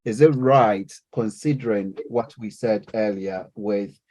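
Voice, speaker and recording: A man's voice: lowish voice, measured pace, warm tone, rough recording. A man lecturing and leading a class discussion, hesitant, with many fillers and restarts.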